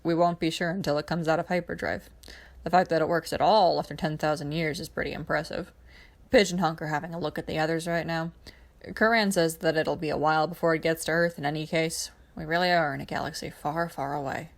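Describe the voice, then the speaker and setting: A woman's voice: low voice, steady tone, fairly quick, creaky. A woman voicing a matter-of-fact young male pilot in a story, plain and understated.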